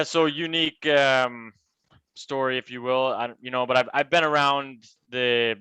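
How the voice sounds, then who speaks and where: A man in his thirties from Michigan with an American accent, chatting as an interview guest, relaxed and halting with many restarts. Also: mid-range voice, clean tone, no room sound, low-quality recording. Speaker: guest, cannabis advisor. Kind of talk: casual interview talk.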